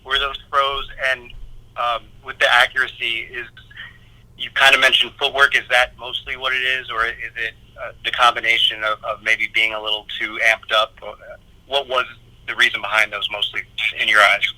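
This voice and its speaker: A man's voice: medium pitch, flat delivery, moderate pace, sharp tone. A man working as a sports reporter, asking questions at a press conference over a phone line.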